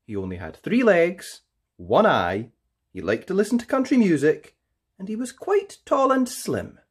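A storyteller hosts a read-aloud for children. The delivery is extremely animated, leaping up and down in pitch. Key words are stretched out with playful, theatrical emphasis. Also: measured pace, slightly rough. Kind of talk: expressive read-aloud of a children's picture book.

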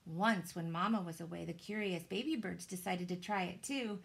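A woman, reading a children's story aloud in a dramatic, deliberate way. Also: on the low side, vocal fry. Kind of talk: expressive children's storybook narration. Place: small indoor room with shelves.

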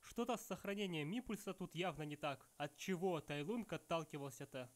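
A man's voice: on the high side, brisk, faint music behind. A man narrating scripted nitpicking commentary with dry sarcasm. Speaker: video narrator.

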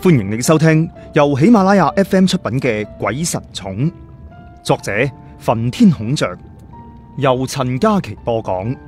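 A man's voice: medium pitch, very animated, measured pace, darkish tone, faint music behind. A man narrating a story in a dramatic audiobook style.

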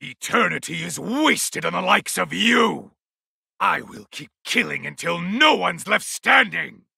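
An actor playing a contemptuous, bloodthirsty immortal villain in a video game, sneering theatrically. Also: male voice, high-pitched, big pitch swings, measured pace, gravelly, pushed and bright, husky, dry recording, studio quality, heavily acted. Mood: scornful.

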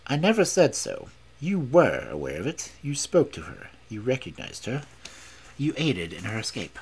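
A narrator voicing a calm, assured male detective in an audiobook, speaking in a measured, precise, accusing way. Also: medium pitch, husky, heavily acted. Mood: firm, accusing.

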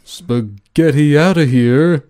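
A man voicing a laid-back, pun-loving cartoon skeleton who teases his brother in a lazy deadpan. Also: on the high side, slow pace, noisy background. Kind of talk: comic dub cartoon acting. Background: music.

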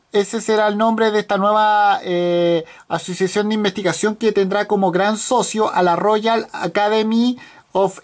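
Channel host, news read aloud, casual, halting, lots of fillers and restarts, mid-pitched voice. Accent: Spanish-speaking. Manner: hesitant, frequent fillers and restarts.

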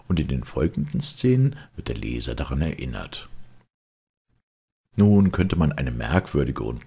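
Very deep, gravelly male narrator. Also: a little mellow. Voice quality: gravelly, husky. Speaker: audiobook narrator. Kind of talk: scripted literary narration.

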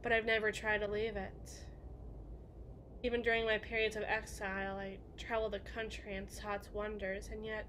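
A woman's voice: on the high side, a bit bright, a little hiss or hum, close to an everyday voice. A woman playing a melancholy, guilt-ridden evil queen in an ASMR roleplay monologue, speaking in formal, introspective phrases to someone she loves.

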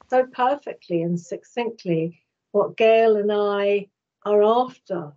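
A woman's voice: medium pitch, a little mellow. A middle-aged woman hosting an interview over a video call from home, speaking in long, flowing, carefully phrased sentences. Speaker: host. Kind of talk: interview host questions. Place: home room. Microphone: video call, laptop mic. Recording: slightly dull video call audio.

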